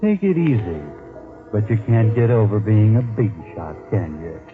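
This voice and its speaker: A man's voice: fairly low pitch, big pitch swings, normal speed. A man acting as a sardonic, menacing narrator in a 1940s radio suspense drama, addressing his listener mockingly and dramatically. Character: sardonic, menacing male narrator taunting a criminal. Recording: tinny 1940s radio recording, music behind. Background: dramatic music.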